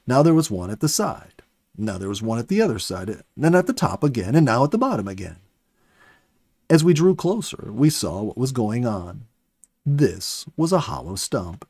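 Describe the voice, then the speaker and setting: A man reading a story aloud in an expressive, dramatic audiobook style, with emphatic swells and softer phrase endings. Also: mid-range voice, no echo. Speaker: story reader, host of a children's story program. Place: dry, close studio recording.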